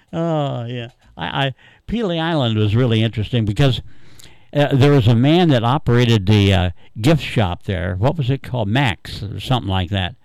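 A man hosts a radio call-in show, chatting and reminiscing in a halting, rambling, easygoing way. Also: mid-range voice, a little mellow, dry recording, low-quality recording. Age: 80s. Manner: rambling, halting, chuckling storyteller. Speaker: radio talk host taking callers. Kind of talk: casual, unscripted reminiscing and chat.

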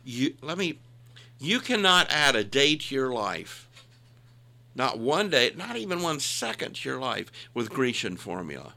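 A man's voice: medium pitch, big pitch swings, measured pace, vocal fry, sharp tone. A man in his sixties chatting casually with a co-host, folksy and self-deprecating, leaning into emphatic points. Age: 60s. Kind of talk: casual chat.

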